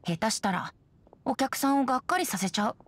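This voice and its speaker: Playful teenage-sounding boy bard for a game: light, highish, lively, whimsical. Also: brisk, slight background noise. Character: breezy, playful boyish young male bard. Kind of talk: video game voice acting.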